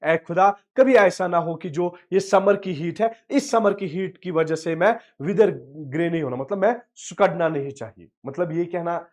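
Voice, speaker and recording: A male teacher explains a poem to students line by line. He reads each English line slowly, explains it at length, and lets his voice fall low and soft at the end of each prayer line.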